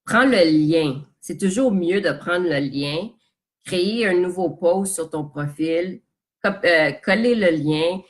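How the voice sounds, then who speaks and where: A woman's voice: on the low side, slow. A woman with a Quebec French accent coaching her audience live, speaking casually and directly and dropping English terms into her French. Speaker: business coach. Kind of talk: live social media coaching talk. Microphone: phone or webcam on a live stream.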